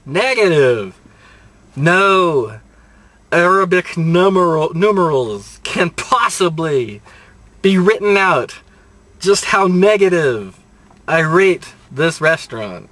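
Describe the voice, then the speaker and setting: Slow-talking older man in a car. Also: a little high, sing-song, a bit bright, no echo. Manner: unhurried rambling delivery with dry self-mocking asides. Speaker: restaurant reviewer. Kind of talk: casual restaurant review vlog. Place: inside a parked car, daytime. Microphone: dashboard camera at close range.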